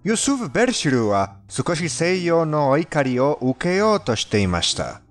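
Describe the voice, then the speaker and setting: Man, foreign accent, very lively intonation, fairly high voice, polite theatrical countdown narration, music underneath. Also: moderate pace. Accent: foreign, non-native Japanese. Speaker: fandub narrator of a countdown video. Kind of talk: scripted narration of creepy countdown stories. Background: background music.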